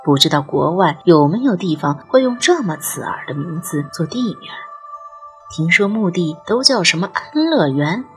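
A woman narrates a supernatural audio drama with very animated intonation, moving freely up and down in pitch. Her storytelling is expressive and suspenseful, with music playing behind her. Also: normal speed. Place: studio recording with music behind.

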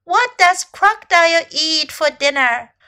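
Lady doing a curious little boy elephant from a storybook, really high n bright, eager. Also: expressive, measured pace, full character voice. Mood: insistent, eager. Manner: eager, questioning, exclamatory. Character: curious, excitable young male elephant.

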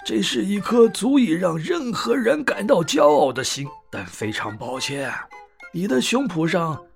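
A man voicing a kindly but self-important old wizard in a children's storybook, with hesitant, drawn-out phrasing. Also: high voice, sing-song, gravelly, faint music behind, pitched above the performer's own voice, full character voice. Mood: pleased, grand.

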